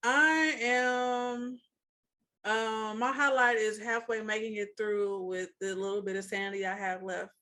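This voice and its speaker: St. woman co-host, a bit high, animated storyteller. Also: a little slow. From St. Louis, USA. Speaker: podcast co-host.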